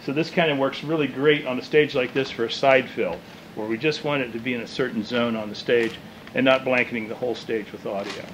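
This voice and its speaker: A man's voice: medium pitch, fairly even intonation, brisk, a bit bright, rough recording. Man presenting gear, noticeable background noise. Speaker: loudspeaker distributor presenting products. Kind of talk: informal product demonstration. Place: indoor demo room with small stage.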